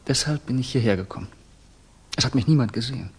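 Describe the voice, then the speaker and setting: A young revolutionary student in a 1960s radio play. His voice is slightly husky and of middling pitch. He confides with fervour and speaks deliberately, like an orator who now and then hesitates. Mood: earnest, confessional. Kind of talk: 1960s German radio drama acting.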